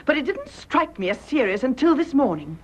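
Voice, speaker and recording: An actress playing a proud, emotional young British wife in a radio drama, well-bred and expressive, with a breathy voice. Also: female voice, sits high, fairly quick. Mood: indignant. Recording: dull, muffled radio drama recording.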